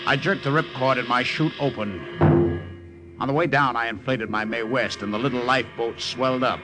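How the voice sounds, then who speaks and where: A man's voice: medium pitch, moderate pace, lightly acted. An actor playing an American wartime airman who recounts his mission in urgent, clipped, old-time radio style. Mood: grim narration. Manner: urgent, clipped, dramatic delivery. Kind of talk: 1950s radio adventure acting and narration. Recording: old radio recording, loud dramatic music.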